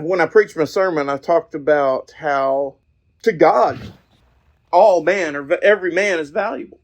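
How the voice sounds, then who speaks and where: A man hosting a podcast in an informal preaching style, talking in an earnest, folksy, halting way outdoors. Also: fairly high pitch. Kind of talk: informal evangelistic talk.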